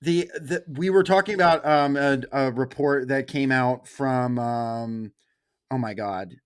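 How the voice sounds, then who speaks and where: A man's voice: mid-range voice, unhurried, clean tone. Young adult American male, mid-range voice, informal rambling podcast co-host. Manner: rambling, informal anecdote telling. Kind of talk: casual podcast chat.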